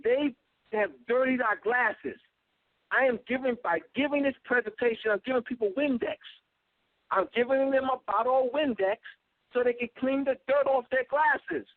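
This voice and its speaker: A man giving an insistent talk, stopping often to fill pauses with ums and uhs, heard over a phone line. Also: very high-pitched, no room sound. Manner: insistent, repetitive, many filler ums and uhs. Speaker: guest speaker. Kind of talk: call-in lecture, talking to a host. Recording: tinny phone line, dry.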